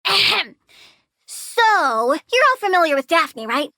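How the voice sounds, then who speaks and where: High, girlish plant villainess, wildly animated. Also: slow, no room sound, studio quality, full character voice. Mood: excited. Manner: childlike, chirpy, sardonic, theatrical. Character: girlish young plant-controlling villainess, perky, morbidly cute, disdainful of humans. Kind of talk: video game character dialogue.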